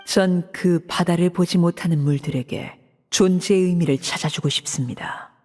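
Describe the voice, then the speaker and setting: A woman voicing a cold, composed female leader in a video game, speaking in a measured, formal, controlled way. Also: on the low side, dark tone, natural voice. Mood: cool. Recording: reverberant game audio.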